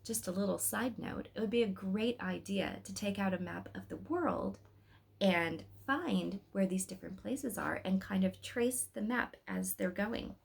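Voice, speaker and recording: A woman reads a story aloud at a medium pitch. Her narration is expressive, with emphatic phrasing that sets out each detail. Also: measured pace, gravelly, breathy edge.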